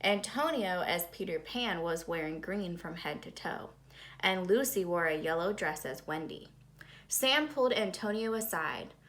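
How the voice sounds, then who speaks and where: Woman doing a kids read-aloud, bright punchy voice, really plays up the suspense. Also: lowish voice, lively intonation, measured pace. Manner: dramatic, suspenseful delivery. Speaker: storybook reader. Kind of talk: expressive children's read-aloud narration.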